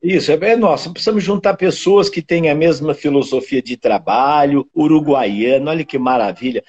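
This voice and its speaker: Older caipira guy hosting a live, kinda husky, muffled video call sound. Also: a little high, moderate pace, darkish tone. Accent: São Paulo countryside caipira Brazilian Portuguese. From countryside of São Paulo state, Brazil. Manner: chatty, reads out viewers' towns, many tag questions. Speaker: host of a fitness live stream. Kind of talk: casual live stream chat.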